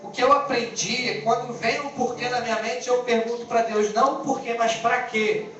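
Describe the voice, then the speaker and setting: A man's voice: extremely high pitch, steady tone, moderate pace, bright, forceful tone, muffled recording. A man with a Brazilian Portuguese accent preaching an informal, exhortative sermon as a pastor, using colloquial language, repetition and rhetorical questions. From born in Angola, lived in Brazil. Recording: echoey distant band-limited live stream, music behind.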